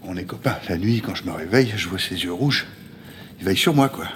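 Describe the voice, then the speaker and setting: A male actor playing a worn, middle-aged homeless man haunted by lost love, colloquial and gruff, in a naturalistic radio drama. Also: low voice, expressive, brisk, a bit bright, slight background noise. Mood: fond, easygoing. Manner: colloquial, gruff, emotionally volatile.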